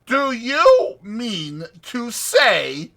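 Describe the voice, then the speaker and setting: A man voicing an excitable, bossy male water rat from a storybook, scolding and exclaiming emphatically. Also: very high voice, extremely slow, vocal fry, voice pushed up, exaggerated voice. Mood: excited, triumphant.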